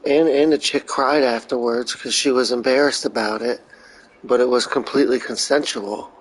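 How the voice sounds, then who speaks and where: A man's voice: mid-range voice, fairly even intonation, moderate pace, poor audio. A man chatting casually over a video game, swearing freely and rambling through crude jokes. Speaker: streamer reacting to a video game. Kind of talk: casual profane gameplay chat.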